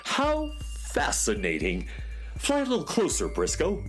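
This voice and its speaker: A man's voice: a little high, wildly swooping pitch, unhurried, faint music behind. Man playing a fussy space handyman, wildly swooping delivery. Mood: fascinated. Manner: fussy, precise, theatrical. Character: fussy, earnest eccentric male handyman inventor of outer space. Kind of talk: children's radio serial acting.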